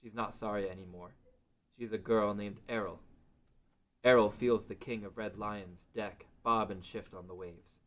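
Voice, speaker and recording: Guy narrating a fantasy table read, lowish voice, scripted storytelling. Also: steady tone, normal speed.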